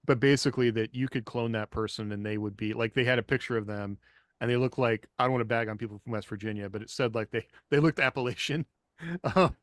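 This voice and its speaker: A man's voice: medium pitch, fast talker, no room sound, studio quality. A man co-hosting a podcast in casual panel conversation. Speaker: podcast co-host.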